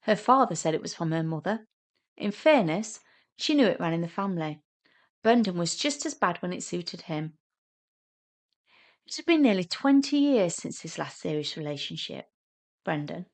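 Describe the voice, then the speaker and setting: A woman's voice: on the low side, lively intonation, moderate pace, pauses often. A woman reading scripted narration for a short audio story.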